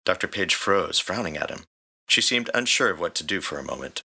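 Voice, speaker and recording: A man's voice: low-pitched, expressive, a little fast, gravelly, sharp tone, breathy edge. A man reading scripted story narration as the narrator. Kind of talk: scripted fan fiction narration.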